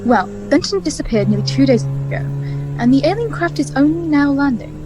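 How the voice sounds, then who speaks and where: A woman acting a sceptical, inquisitive scientist in an audio drama. Her high voice moves in lively swings, with clear vehicle noise behind. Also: fairly quick. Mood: questioning.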